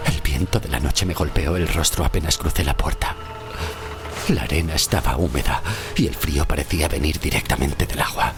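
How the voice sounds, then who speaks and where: An actor from Spain playing a frightened shipwrecked sailor of centuries past, telling his horror tale gravely and haltingly in an audio drama. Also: extremely low pitch, noisy background. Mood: tense, fearful. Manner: grave, halting, suspenseful delivery. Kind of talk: dramatic first-person horror audio-fiction narration.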